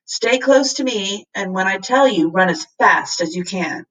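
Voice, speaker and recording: A woman's voice: mid-range voice, animated, a little slow, fairly bright tone, distant mic, low-quality recording. A woman playing a kindly, knowing male professor in a children's story, explaining and protective. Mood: urgent.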